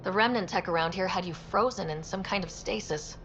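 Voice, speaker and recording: An actress playing an earnest young human space explorer in a video game, plain-spoken and diplomatic. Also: female voice, fairly low pitch, brisk, fairly bright tone, a little hiss or hum. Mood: calm. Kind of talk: cinematic video game acting. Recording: dull game audio with faint music.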